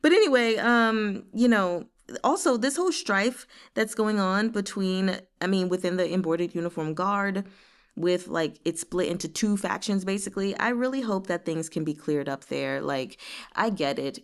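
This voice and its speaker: A woman's voice: mid-range voice, moderate pace, smooth. A woman hosting a book read-along, talking casually and expressively and drifting into asides. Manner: expressive, digressive, giggly asides. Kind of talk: casual chatty book discussion.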